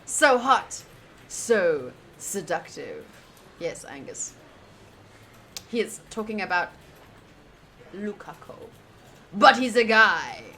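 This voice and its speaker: A woman's voice: mid-range voice, very animated, slow pace, pauses often, pushed and bright, a little hiss or hum. A woman with an American accent, playful and theatrical, reading a visual novel aloud and chatting as she streams. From United States.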